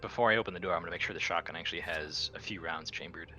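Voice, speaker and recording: A man's voice: sits low, racing, bright, forceful tone, highs cut off, faint music behind. A man playing a tabletop roleplaying game, casually saying what his character does.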